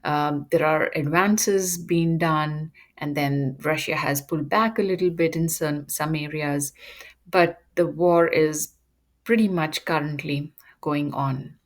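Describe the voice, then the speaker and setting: Woman, low voice, educator-style monologue, halting with ums. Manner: measured, frequent ums and uhs. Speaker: educator presenting a topic. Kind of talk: unscripted lecture monologue.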